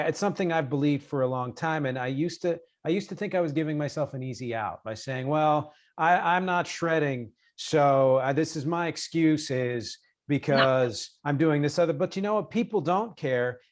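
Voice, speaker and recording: A man hosting a music interview in a casual, reflective way, affirming and thoughtful as he talks. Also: on the high side. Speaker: interviewer and music show host.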